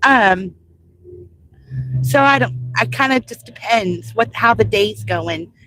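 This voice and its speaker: A woman's voice: fairly high pitch, slow, bright, forceful tone, a little hiss or hum, rough recording. An older American woman from the Louisville area, chatting casually on a live stream while driving deliveries, rambling and answering viewers' comments, a bit congested from allergies. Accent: Southern Indiana / Louisville area. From United States. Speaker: vlogger driving deliveries, reading live chat. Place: in a car.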